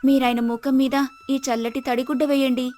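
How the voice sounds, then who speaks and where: A woman's voice: high-pitched, little pitch movement, fairly quick, mellow, natural voice. A woman voicing a kind village wife with a nurturing, respectful manner in a cartoon moral story. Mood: concerned, caring. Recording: music behind the voice. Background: music.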